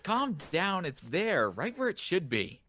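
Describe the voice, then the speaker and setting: A man's voice: high-pitched, sing-song, a little slow, bright, forceful tone, no room sound, voice pushed up, full character voice. A man voicing a sweet, soothing young girl in an amateur manga dub.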